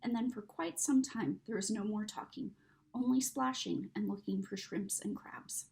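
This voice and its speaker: A woman reading a children's story aloud in an expressive, dramatic narrating style. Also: medium pitch, normal speed, vocal fry. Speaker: story reader.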